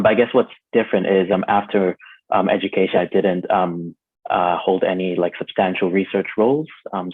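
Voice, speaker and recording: A man's voice: deep voice, no room sound. A young man from Hong Kong, a trainee psychologist, answering interview questions hesitantly with many ums. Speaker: guest, trainee clinical psychologist. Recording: tinny phone-like line, dry.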